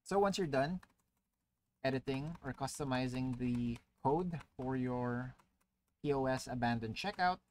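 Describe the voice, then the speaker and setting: A man giving a casual, step-by-step software tutorial as a voice-over to a screen recording, explaining with frequent filler words. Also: medium pitch, unhurried. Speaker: tutorial presenter.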